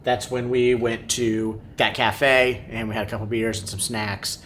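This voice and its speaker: A man's voice: level tone, unhurried, a bit bright. A man who vlogs family travel, giving an unscripted recap in a downbeat, regretful manner. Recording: clear background noise, low rumble.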